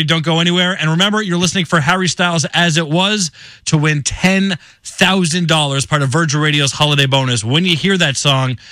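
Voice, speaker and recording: Bright, punchy male radio DJ. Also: on the high side, no room sound. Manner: chatty, conversational. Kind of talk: casual radio announcing, addressing listeners. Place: radio studio. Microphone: close studio microphone.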